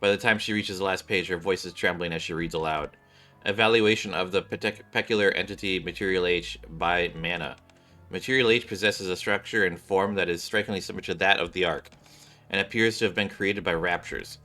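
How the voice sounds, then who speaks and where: A man with a bright, forward voice reads game story text aloud, a little low in pitch. He stumbles on words now and then and corrects himself, with music playing behind him. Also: a little fast. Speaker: streamer reading game dialogue aloud. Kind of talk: live read-along of game script.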